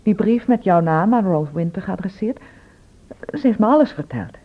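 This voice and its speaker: An actress playing a frank, sharp-tongued, wry woman shopkeeper in a radio drama. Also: medium pitch, lively intonation, moderate pace, close to an everyday voice. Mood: frank, concerned. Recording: thin phone-like old radio recording.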